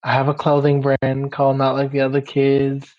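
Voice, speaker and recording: A man's voice: medium pitch, moderate pace. A young man from Guyana, a podcast guest chatting casually and laughing easily. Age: young adult. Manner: relaxed, laughs easily, talks in loose run-on phrases. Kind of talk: casual interview chat. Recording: somewhat dull, muffled sound.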